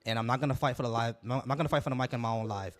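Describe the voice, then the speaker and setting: Young American male streamer, rapid and firm, holds the floor by repeating himself. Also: mid-range voice. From Florida. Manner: repeats phrases to hold the floor, firm and insistent. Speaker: livestream debate host. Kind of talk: heated live debate.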